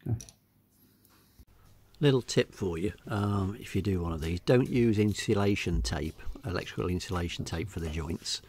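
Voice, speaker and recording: A middle-aged man with an English accent giving a casual, unscripted voice-over walkthrough, hesitating and pausing often. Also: sits low, a little mellow, husky, no room sound. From England. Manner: hesitant, unscripted delivery with many pauses. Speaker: hobbyist video narrator.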